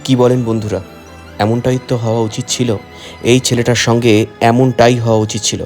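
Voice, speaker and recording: A man's voice: medium pitch, level tone, fairly quick, darkish tone. A man giving earnest scripted narration, addressing viewers directly. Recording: faint music behind.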